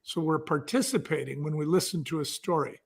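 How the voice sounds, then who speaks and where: A man's voice: fairly high pitch, fairly quick, creaky, husky. A man speaking as an entrepreneur guest, explaining conversationally with vivid examples.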